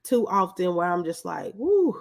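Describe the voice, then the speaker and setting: A woman's voice: medium pitch, moderate pace. An American woman chatting casually and candidly as an interview guest. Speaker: guest entrepreneur.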